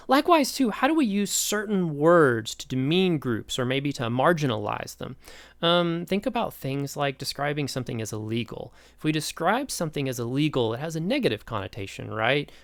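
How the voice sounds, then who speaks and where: A man's voice: on the high side, a bit bright, no echo. A man in his thirties from East Tennessee with a Midwestern American accent, giving a chatty, enthusiastic sociology lecture full of questions to the listener. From East Tennessee, Appalachia. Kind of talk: recorded online lecture.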